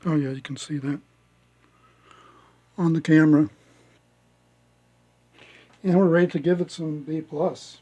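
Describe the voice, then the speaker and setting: A man giving a casual step-by-step demonstration as a hobbyist, speaking haltingly with pauses as he works. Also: fairly high pitch, fairly even intonation, dark tone. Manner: halting, pausing mid-sentence as he works. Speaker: hobbyist restoring a vintage radio.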